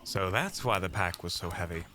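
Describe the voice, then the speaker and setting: A man's voice: low voice, normal speed, lightly acted. A man playing a practical, dry-humoured adventuring companion in an audio roleplay, wry and matter-of-fact. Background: music.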